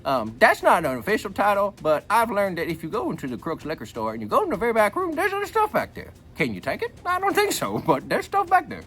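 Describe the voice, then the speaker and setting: A man's voice: high-pitched, huge pitch swings, fast, hardly any pauses, a bit bright, full character voice. A man playing a folksy, joking old grandpa who reads a book aloud and rambles off into repetitive wisecracks. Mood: jokey, rambling. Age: older. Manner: rambling, repeats himself, wisecracking. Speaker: book reader. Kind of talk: reading a book aloud with rambling comic asides.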